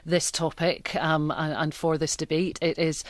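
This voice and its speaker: A woman's voice: deep voice, flat delivery, measured pace, dry recording. A woman, a phone-in presenter, talking conversationally in an interview.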